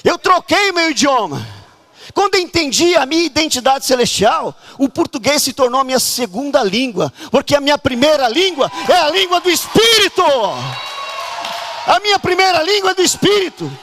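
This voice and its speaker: A man from Brazil preaching a fervent charismatic sermon at a church conference, often shouting and urging the congregation to act. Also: very high-pitched, animated, normal speed.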